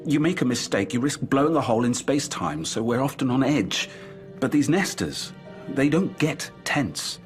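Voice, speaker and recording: A man's voice: mid-range voice, expressive, normal speed, soft background music, lightly acted. A man playing an irritable research scientist under questioning in a radio drama, defensive and exasperated. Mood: defensive, irritated.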